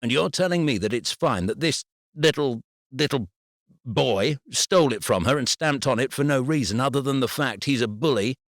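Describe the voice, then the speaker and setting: A man voicing an angry father who argues in a heated, forceful way. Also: medium pitch, animated, moderate pace, dry recording, studio quality, pitched above the performer's own voice, lightly acted. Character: indignant father, loud and angry.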